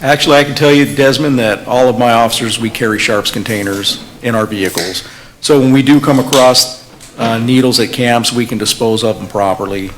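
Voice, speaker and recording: A police officer, a man, gives direct, firm answers at a community workshop. His voice sits at an ordinary middle pitch. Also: a little fast, low-quality recording. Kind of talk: answering questions at a public workshop.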